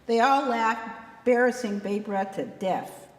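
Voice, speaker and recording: A woman author narrating her novel in a folksy, expressive storytelling style. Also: a little high, a little slow. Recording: echoey room with long reverb.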